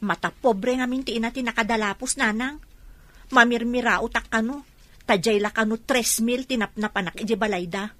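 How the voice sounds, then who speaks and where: A woman's voice: fairly high pitch, normal speed, a bit bright, no room sound. A woman with a Filipino accent playing a proud, earnest young vendor who stands firm, in a radio drama. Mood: aggrieved. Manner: earnest, firm when wronged. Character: proud young woman vendor, poor, dutiful but defiant.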